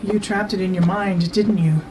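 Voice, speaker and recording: A woman's voice: mid-range voice, fairly even intonation, moderate pace, noisy background, natural voice. A woman playing a practical, worried companion in an audio drama, questioning and no-nonsense in manner. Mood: concerned. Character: practical, anxious female companion of a time traveller.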